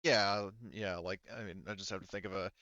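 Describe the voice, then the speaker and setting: A man explaining rules as game master of a tabletop roleplaying game, haltingly, thinking aloud and correcting himself as he goes. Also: low voice, fairly even intonation, pushed and bright, dry recording. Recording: slightly muffled, band-limited voice audio.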